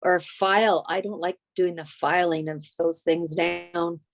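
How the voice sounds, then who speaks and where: A woman's voice: on the low side, fairly even intonation, unhurried. A woman co-host chatting casually, guiding her friend step by step with lots of likes and ums. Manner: friendly, gives step-by-step advice with many fillers. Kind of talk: casual chat.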